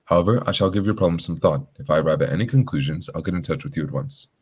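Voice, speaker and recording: Guy playing a gentleman detective in a radio play, low voice, talks fast, formal and courteous. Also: breathy edge. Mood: courteous, composed. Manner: formal, measured wording, polite. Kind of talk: radio drama acting.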